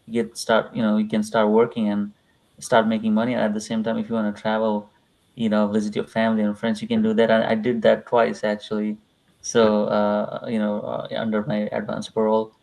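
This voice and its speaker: A man's voice: fairly low pitch, monotone, fairly quick, gravelly, darkish tone, low-quality recording. A young man from Nepal, a guest in a live interview, talking casually and hesitantly with many fillers. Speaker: interview guest, visa client.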